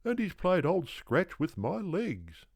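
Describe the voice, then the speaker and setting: A man's voice: sits high, huge pitch swings, slow pace, husky, dry recording, studio quality, voice pushed up, heavily acted. A man voicing a tired, aggrieved old male kangaroo in a storybook, complaining in formally polite words. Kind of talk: storybook character voice.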